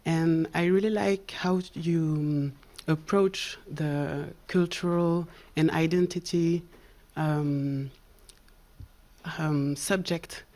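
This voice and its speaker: A woman's voice: low voice, steady tone, slow pace, a little mellow. A woman architect from Cameroon asking a question from the audience, hesitant and searching for words.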